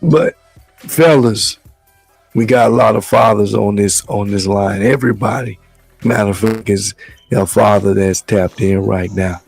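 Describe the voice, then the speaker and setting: A man hosting a casual talk show, hoarse from the flu, speaking in a measured, rambling way. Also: lowish voice, fairly even intonation, darkish tone, soft background music, rough recording. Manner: measured, loose, repeats words as he talks. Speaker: show host.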